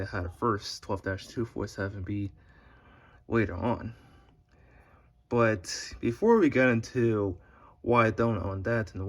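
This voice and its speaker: A man giving a casual, unscripted showcase narration in a halting, meandering, explanatory way. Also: lowish voice. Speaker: hobbyist video creator. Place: indoors at home beside a shelf of radios. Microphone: handheld phone behind the camera.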